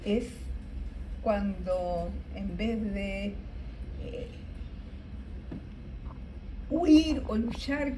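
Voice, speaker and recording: A woman comments in an academic register. She speaks slowly and stops often, with hesitant fillers. Room ambience is clearly audible around her. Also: mid-range voice, noisy background. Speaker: panelist in a discussion.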